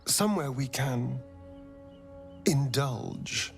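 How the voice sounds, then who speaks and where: An actor playing a flirtatious, self-indulgent vampire rogue in a video game, with an arch, theatrical drawl. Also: male voice, medium pitch, expressive, extremely slow, vocal fry, faint music behind, full character voice. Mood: seductive. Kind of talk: video game character acting.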